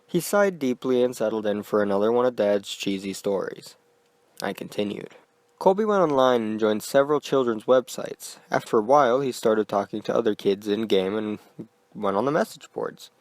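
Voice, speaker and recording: A man narrating a scripted horror story in a quiet, deliberate manner. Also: on the low side, animated, dry recording.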